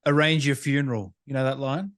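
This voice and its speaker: A man's voice: mid-range voice, steady tone, brisk, fairly bright tone. A man hosting a casual interview, putting in short, agreeable questions and prompts. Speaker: interviewer, gaming show host.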